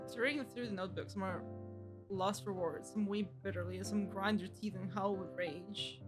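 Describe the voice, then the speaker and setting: A woman's voice: medium pitch, steady tone, moderate pace. A woman streamer with a mid-range voice reads game text aloud. She drops in casual reactions while game music plays faintly behind her.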